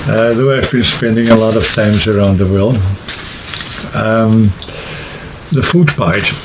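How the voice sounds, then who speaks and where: A man talking casually and unscripted to camera, explaining things in a rambling, halting way like a vlogger. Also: fairly low pitch, noisy background. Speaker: hiking vlogger and trip organizer.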